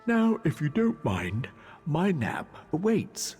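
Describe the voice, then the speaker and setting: A man's voice: on the high side, wildly swooping pitch, slow, darkish tone, heavily acted. A man voicing a grumpy, sleepy monk in a video game, wry and dismissive as he brushes off questions. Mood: weary, wry. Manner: dismissive, wry, put-upon. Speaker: monk character. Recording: long hall reverb, music behind.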